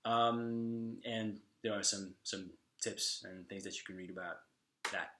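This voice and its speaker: A young man whose first language is Slovak talks casually to his viewers in a slightly low voice. He pauses often, restarts his sentences and fills the gaps with "um" and "uh". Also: steady tone, noisy background. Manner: halting, with many fillers and restarts. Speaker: vlogger. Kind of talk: casual talk to camera.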